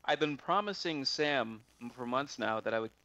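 A man casually telling a story on a video call, halting and often repeating his words. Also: medium pitch, lively intonation, sharp tone, no echo.

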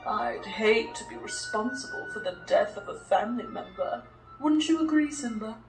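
A woman's voice: a little high, lively intonation, moderate pace. A woman voicing a sly, menacing villain lion in a cartoon fan dub, with a mocking, oily, theatrical manner. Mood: sly, taunting. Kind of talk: fan dub of animated film. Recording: roomy playback from a screen, music behind.

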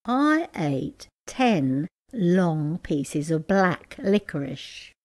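Lady doing excitable kid in a storybook, gullible, slow emphatic words, very animated. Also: on the low side, exaggerated voice. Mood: boastful. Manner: emphatic, drawn-out exclamations. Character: excitable gullible schoolboy, loudly exasperated, later slyly boastful.

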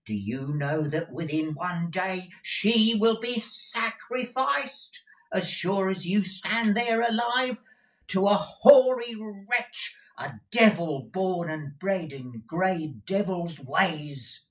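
Storybook role: reader doing a frantic, stuttering old clerk, voice pitched high and shaky. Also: animated, takes it slowly, distant mic, low-quality recording. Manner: stammering, frantic, pleading. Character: agitated eccentric older male clerk. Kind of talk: audiobook character voice.

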